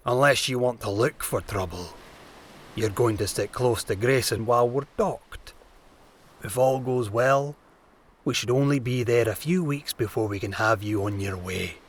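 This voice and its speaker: Pirate captain for historical audio drama, male actor: gruff, booming, authoritative, measured, looking out for his passengers. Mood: stern, cautioning. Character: bearded older sea captain, booming, gruff but protective.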